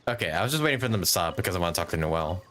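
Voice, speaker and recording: A man's voice: deep voice, expressive, rapid-fire, a crowd in the background. A man doing casual, informal live commentary as he streams a video game. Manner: informal, swearing, chatty. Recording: faint noise behind.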